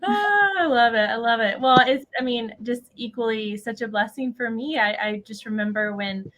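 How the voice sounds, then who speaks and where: A woman who mentors and writes books speaks in an effusive, expressive way during a casual interview. Her voice sits at an ordinary middle pitch, and she speaks freely and openly. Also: poor audio. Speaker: mentor, author.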